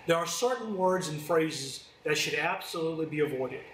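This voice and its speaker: A man's voice: fairly high pitch, lively intonation, normal speed, fairly bright tone. A man giving a formal, scripted training presentation as a fire department instructor. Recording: roomy, distant mic, faint noise.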